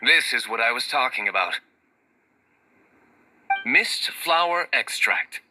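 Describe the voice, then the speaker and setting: A man's voice: medium pitch, lively intonation, slow pace, noisy background. A man playing an aloof, composed young nobleman in a video game, speaking formally and seriously. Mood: businesslike.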